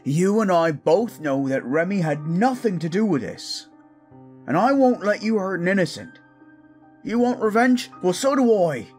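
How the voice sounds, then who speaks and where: Man acting as a protective, expressive loyal commoner. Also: high voice, normal speed, faint music behind. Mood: defiant pleading. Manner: earnest, informal, expressive. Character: loyal protective young male commoner, defiant but caring. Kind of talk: dramatic fantasy audio drama acting.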